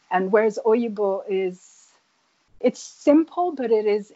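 A woman who grew up in Nigeria, an author answering interview questions thoughtfully, with many pauses and restarts. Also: mid-range voice, a little mellow, no echo, lo-fi sound. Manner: hesitant, many fillers and restarts. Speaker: author, guest.

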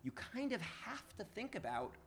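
A man from New York whose first language was Hebrew, a podcast guest talking in an animated, casual way full of restarts and fillers. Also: high voice, moderate pace, vocal fry, slight background noise. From New York, USA. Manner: animated, many restarts and fillers, laughs easily. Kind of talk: casual interview conversation.

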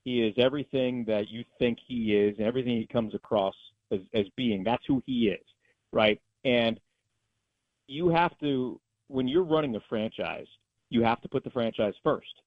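Over a dry, narrow phone-like line, a man of medium pitch talks sports as a guest announcer. His analysis is conversational but emphatic, and he states his opinions firmly. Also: normal speed, no room sound.

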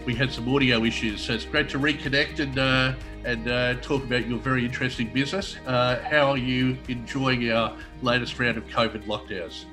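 A podcast host, a man with a mid-range voice, asks his guest questions in a relaxed, friendly way. His talk is full of small fillers, and faint music sits quietly underneath. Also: mid-range voice, normal speed, hardly any pauses. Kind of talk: conversational interview.

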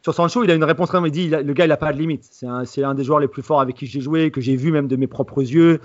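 A man's voice: fairly high pitch, steady tone, fast, highs cut off. A man who is a football journalist, giving assertive analysis on a podcast. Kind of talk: podcast discussion.

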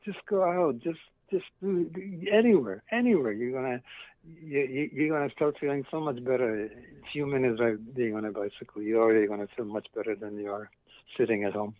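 A man's voice: medium pitch, a little fast, dry recording, narrow, tinny audio. A middle-aged man with a Slovak accent giving casual, reflective interview answers in an enthusiastic, rambling way, often interrupting himself. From eastern Slovakia. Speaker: interview guest, cycling company founder.

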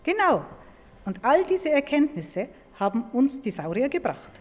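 High, lively woman explaining in an echoing hall. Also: measured pace. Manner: clear, didactic phrasing. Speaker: museum paleontologist, expert guest. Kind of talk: explaining to young listeners. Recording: echoing hall, music behind.

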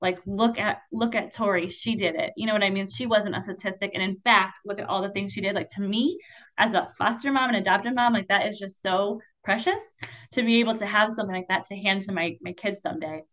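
A woman hosts the interview in an effusive, affirming manner, peppering her sentences with fillers. She is a foster mom herself. Her voice sits at a middle pitch. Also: fairly even intonation, fairly quick, a bit bright. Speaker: podcast host and foster mom. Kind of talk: warm-hearted interview hosting.

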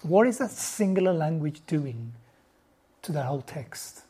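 A woman's voice: deep voice, animated, measured pace, mellow, slightly rough. A woman giving a conversational Bible lesson to a group, often restarting her sentences and asking the listeners questions. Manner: informal, stumbling restarts, asks the audience questions. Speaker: Bible teacher.

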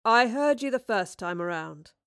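A woman's voice: fairly high pitch, lively intonation, normal speed, a bit bright, smooth, no echo, clean studio sound. A woman voicing an indignant, stubborn merchant who protests as a male debtor in an audiobook. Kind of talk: audiobook character acting.

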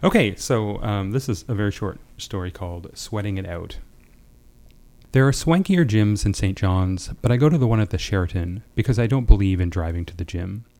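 A man's voice: fairly low pitch, lively intonation, brisk, a little mellow. A man speaking as a guest writer, discussing and reading short fiction. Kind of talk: panel chat and reading own short fiction.

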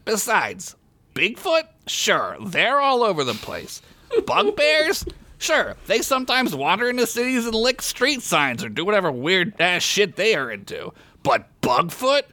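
A man's voice: high-pitched, wildly swooping pitch, a little slow, bright, forceful tone, no echo, voice pushed up, heavily acted. A man voicing a condescending, pedantic cryptozoologist in a comic story, pompous and dismissive.

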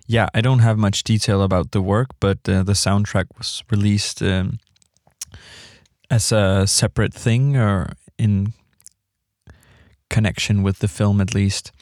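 A man hosting a music show, casually introducing tracks in a hesitant, halting way. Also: on the low side, fairly even intonation, a little mellow, no echo, studio quality.

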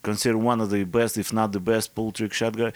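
A man in his fifties with a Russian accent, talking as a podcast guest in a halting, modest way with many fillers. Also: fairly low pitch, little pitch movement, no echo. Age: 50s. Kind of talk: casual interview chat.

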